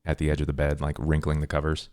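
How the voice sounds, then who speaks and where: A man playing a tabletop game, describing his character's actions casually in halting, tentative phrases. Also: extremely low pitch, completely flat delivery, a little mellow, no room sound, studio quality.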